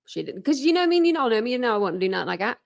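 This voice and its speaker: A woman's voice: a little high, big pitch swings, racing, vocal fry. Chatty rambling woman host. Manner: rambling, chatty, self-interrupting with laughs. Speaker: true crime channel host. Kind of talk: casual livestream commentary.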